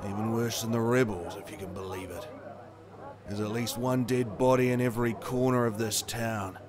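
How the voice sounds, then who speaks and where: A man's voice: mid-range voice, steady tone, moderate pace, natural voice. A man playing a weary, cynical leader of a survivors' camp in a sci-fi audio drama, grim and curt. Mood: grim, resigned. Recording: busy crowd ambience behind.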